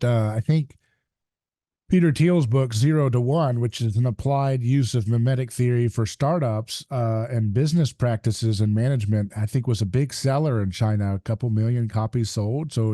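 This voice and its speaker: A man's voice: fairly low pitch, mellow, no room sound. A man hosting a podcast interview at a studio mic, asking long, rambling questions with fillers. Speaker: podcast host. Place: room with plants behind. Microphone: studio mic on arm. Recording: dry close-mic sound.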